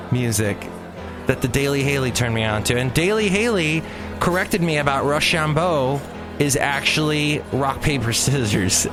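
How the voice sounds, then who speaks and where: A man hosting his own podcast in a rambling, jokey monologue with playful asides. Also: mid-range voice. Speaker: podcast host. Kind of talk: casual solo podcast monologue.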